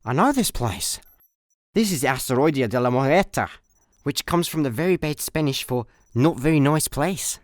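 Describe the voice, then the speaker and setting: Man doing wry slacker in a sci-fi radio comedy, droll, unimpressed, really animated pitch. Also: normal speed, no room sound, clean studio sound, close to an everyday voice. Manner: droll, unimpressed, mock-dramatic. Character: laid-back, wry male space layabout on the run. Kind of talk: comic sci-fi radio play acting.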